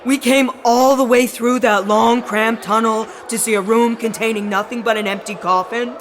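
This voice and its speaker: A man's voice: extremely high pitch, fairly even intonation, normal speed, fairly bright tone, slight background noise. An actor playing a blunt, curious male tourist in a comic audio drama. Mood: disappointed. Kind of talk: comic audio theatre acting.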